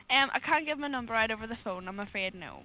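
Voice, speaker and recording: A woman with a Northern Irish accent chatting politely and patiently as a customer service agent on a phone call, quick to giggle. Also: a little high, lively intonation. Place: phone line into a group video call. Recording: noisy phone call recorded off computer.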